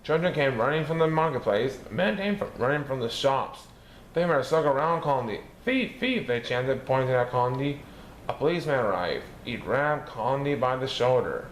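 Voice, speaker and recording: A man reading a children's picture book aloud, lifting his voice high for the characters' lines. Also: normal speed. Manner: lively, raising his pitch for characters' lines. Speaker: storybook reader. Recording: echoey room with faint background noise.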